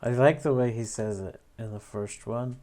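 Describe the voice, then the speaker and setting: A man's voice: medium pitch, moderate pace, warm tone. A man narrating a casual editing tutorial, thinking aloud as he works with typing and clicking behind him. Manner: musing, thinking aloud with tag questions. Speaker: video editor demonstrating an edit. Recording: clear background noise with keyboard clicks.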